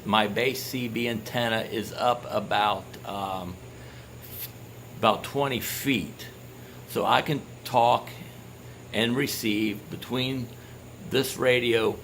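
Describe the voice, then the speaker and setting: A man giving an informal product demonstration in a halting, explanatory way, often checking in with the listener. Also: fairly low pitch, fairly bright tone. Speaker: product reviewer. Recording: clear background noise, home-made video.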